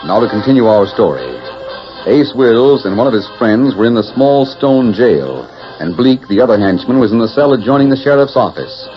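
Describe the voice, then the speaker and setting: A man narrating a western drama in measured, theatrical 1940s radio announcer style. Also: medium pitch, animated. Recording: muffled vintage radio, loud music and effects.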